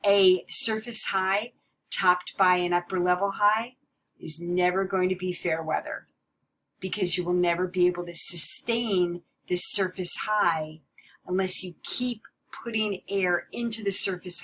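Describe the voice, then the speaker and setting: A woman giving an explanatory lecture as a teacher, in a deliberate, emphatic way that repeats key points. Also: lowish voice, fairly even intonation, fairly bright tone, slight background noise, poor audio. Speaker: meteorology teacher.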